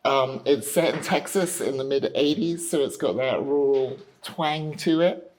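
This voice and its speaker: Brief: female director, table read host. Casual group briefing, halting delivery with plenty of fillers. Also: very deep voice, a little mellow, slight background noise. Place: rehearsal studio.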